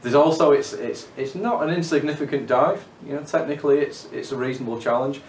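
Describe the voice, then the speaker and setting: Cave diver in a roomy living room. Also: male voice, medium pitch, fairly quick, slight background noise. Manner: repeats words when starting phrases. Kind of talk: documentary interview. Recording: roomy, from a distance.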